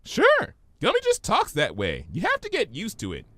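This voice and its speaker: A giggly, carefree young female cartoon pony voiced by a man. The voice sits very high and bounces all over. Delivery is breezy and exclamatory, in a dry, close recording. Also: huge pitch swings, normal speed, pushed and bright, no echo, full character voice. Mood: breezy, playful.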